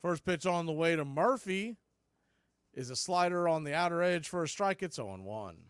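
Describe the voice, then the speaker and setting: A man calling baseball play-by-play as a radio announcer, in clipped, folksy phrases that range from quiet to excited. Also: fairly high pitch, normal speed, clean tone, no room sound, clean studio sound. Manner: swings from hushed calls to excited shouts. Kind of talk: live radio play-by-play commentary.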